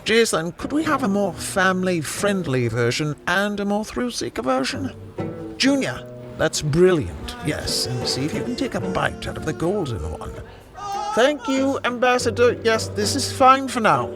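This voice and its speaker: Male actor as tactful troupe leader, brisk, instructive, animated intonation, fairly high pitch, audio comedy. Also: music in the background. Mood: politely directing. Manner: polite, instructive. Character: brisk, tactful director of a traveling theater troupe. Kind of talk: audio drama comedy acting.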